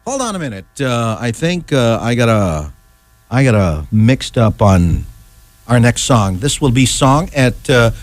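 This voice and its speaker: A middle-aged man hosting a radio chart countdown in a casual, ad-libbed way, rambling and halting, often checking in with an "okay". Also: medium pitch, sing-song. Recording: music playing behind close, dry voice. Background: music.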